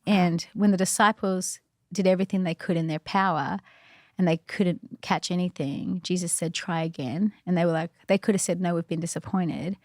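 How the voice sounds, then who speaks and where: A woman with a fairly low voice shares personal faith stories as a podcast guest. She is chatty and earnest and often fills her sentences with "like" and "you know". The sound is dry and close, with no room echo. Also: fairly even intonation, moderate pace. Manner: earnest and reflective. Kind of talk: casual faith storytelling.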